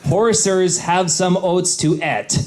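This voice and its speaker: A man's voice: sits high, slow pace. A man reading comically misspelled text aloud at a live panel, with crowd noise in the convention room. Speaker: panel reader.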